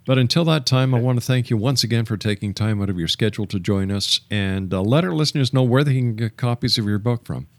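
A man hosting a radio interview, speaking politely in deliberate phrases. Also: medium pitch, dry recording. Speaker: radio show host and interviewer.

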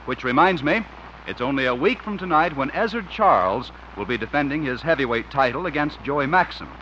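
Male American 1950s network announcer: forceful, lively, polished promotional read, on a dull old recording. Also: a little high. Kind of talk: scripted 1950s radio announcing and narration. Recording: dull, slightly noisy 1950s radio recording.

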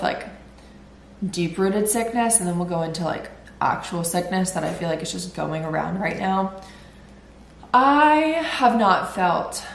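A woman's voice: medium pitch, echoey room, slight background noise. A woman in her twenties hosting a solo podcast, chatty and rambling, sounding nasal and congested as she recovers from illness. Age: 20s. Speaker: podcast host. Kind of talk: casual solo podcast chat.

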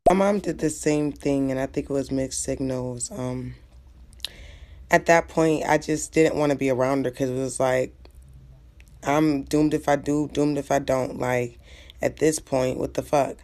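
A woman's voice: extremely low pitch, fairly even intonation, unhurried, a little mellow. A woman co-hosting a podcast who talks openly and emotionally in casual chat, full of "like" fillers. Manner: open, emotional, frequent filler likes.

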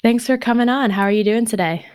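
A woman's voice: on the high side, fast, darkish tone, clean tone, no room sound. A young woman hosting a student podcast, reading an introduction and welcoming her guest in an upbeat way. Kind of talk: scripted show introduction and interviewing.

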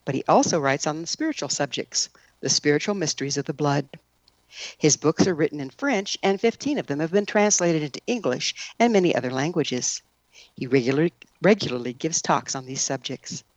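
A woman's voice: deep voice, a little fast, dry recording, low-quality recording. A woman hosting a radio show, reading a prepared introduction and interviewing a guest.

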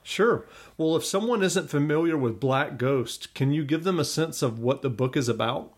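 A man hosting a podcast, asking a guest questions in a smooth, polished way. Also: fairly high pitch, lively intonation, moderate pace. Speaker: podcast host. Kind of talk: podcast interview.

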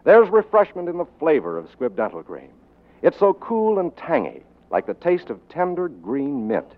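Lively 1940s radio announcer, tinny sound. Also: male voice, fairly high pitch, normal speed. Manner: polished, salesmanlike. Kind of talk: scripted 1940s radio commercial read. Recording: tinny, narrow-band 1940s radio recording.

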